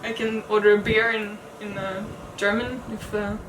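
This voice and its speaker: A woman's voice: mid-range voice, flat delivery, normal speed, reverberant, noisy background. A woman from Sweden with a Swedish accent, co-hosting a sailing chat, speaking candidly with small hesitations. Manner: thoughtful, candid, light hesitations. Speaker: sailing vlog co-host. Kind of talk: casual Q&A chat.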